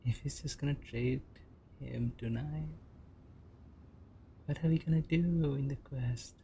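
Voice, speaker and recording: Very low, slow-spoken woman reacting. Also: mellow, slight background noise. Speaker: game streamer. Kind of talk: casual low-key game reaction commentary. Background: game music.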